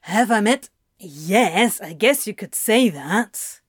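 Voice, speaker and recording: A woman plays a sardonic man of letters in an audio story. He drawls slowly and dryly, needling his rival with mockery, in a close, dry recording. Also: medium pitch, expressive, breathy edge, no room sound. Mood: nonchalant.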